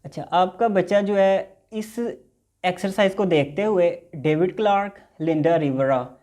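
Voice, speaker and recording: A man's voice: sits high, measured pace, mellow. A man teaching basic English in a recorded lecture, patiently explaining and reading example dialogues aloud.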